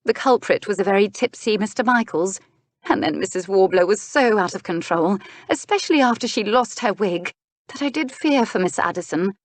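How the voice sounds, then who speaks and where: Woman playing a polite, flustered young lady, raised pitch. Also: expressive, moderate pace, no echo, natural voice. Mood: earnest. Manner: apologetic, earnest, well-mannered. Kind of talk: historical romance audiobook character.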